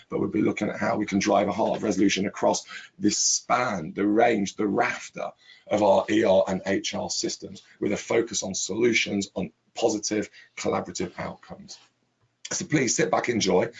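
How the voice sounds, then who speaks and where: Croaky guy hosting a webinar, low gravelly voice, repeats words in long lists. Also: steady tone, fairly quick, rough recording. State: hoarse with laryngitis. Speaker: webinar host, chief executive. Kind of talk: webinar presentation.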